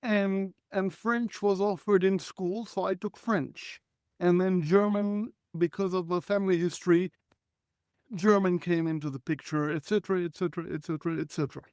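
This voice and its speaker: High-voiced, smooth man in his forties. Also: steady tone, moderate pace, darkish tone, dry recording, clean studio sound. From Israel. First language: Hebrew. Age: 40s. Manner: earnest, reflective, clears throat often. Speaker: guest. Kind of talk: conversational life storytelling.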